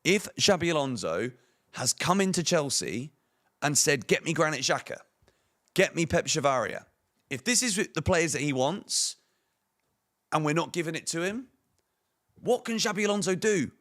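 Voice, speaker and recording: A man hosts a football fan channel, his voice hoarse and croaky. He reads out transfer news and breaks into emphatic, opinionated rhetorical questions. His pitch sits a touch above the usual for a man. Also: lively intonation, normal speed, pauses often, fairly bright tone.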